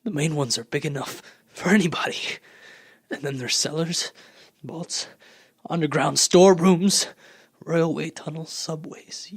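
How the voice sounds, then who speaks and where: Radio play role, male actor: fanatical soldier survivor, husky voice, intense and scheming, recorded dry and close. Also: a little high, unhurried, dry recording. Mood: scheming, urgent. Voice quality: husky, gravelly. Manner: intense scheming, folksy slang, swelling from hushed to loud. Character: fierce power-hungry artilleryman survivor plotting to rule the world.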